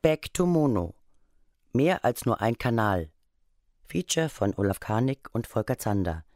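A man's voice: medium pitch, sing-song, measured pace, a little mellow, no room sound, studio quality. A man reading scripted documentary narration for a radio feature.

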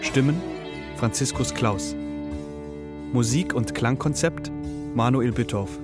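A man's voice: mid-range voice, takes it slowly, loud music behind the voice. A man reading out the closing credits of a radio play as a scripted announcement.

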